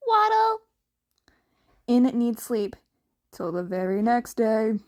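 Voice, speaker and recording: A woman's voice: on the high side, slow, warm tone, no echo, studio quality. A woman narrating fan fiction as a scripted story reading.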